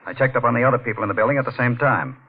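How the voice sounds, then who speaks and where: An actor playing an assured police detective laying out a case in 1940s radio drama style. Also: male voice, medium pitch, very fast, close to an everyday voice. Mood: assured, stern. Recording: muffled, husky-sounding old radio recording.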